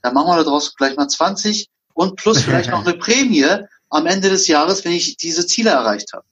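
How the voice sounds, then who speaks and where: A man's voice: a little high, animated, brisk, fairly bright tone, slight background noise. A man from Berlin in a casual conversation, telling work stories and acting out the dialogue. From Berlin, Germany. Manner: anecdotal, acts out dialogue. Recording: dull lo-fi sound.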